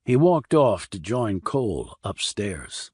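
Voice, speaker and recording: A man narrating a crime thriller audiobook in a measured, serious, scripted reading. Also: fairly low pitch, sing-song, a little mellow, slightly rough, dry recording, studio quality.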